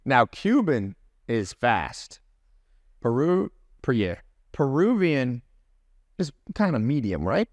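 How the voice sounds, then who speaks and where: A man in casual conversation, telling lively stories and mimicking accents and friends' phrasing. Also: medium pitch, takes it slowly, long pauses, dry recording. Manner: animated storyteller, mimics accents. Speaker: podcast guest, business mentor. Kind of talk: casual chat.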